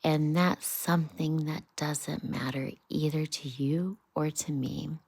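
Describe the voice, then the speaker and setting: A worldly male water rat from a children's storybook, voiced by a woman, chatty yet guarded. He speaks at a leisurely pace with a soft, mellow tone and brushes things off with a cautious, dismissive air. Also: deep voice, fairly even intonation, slow, husky, no echo, heavily acted. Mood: firm, dismissive. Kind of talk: children's bedtime storybook reading.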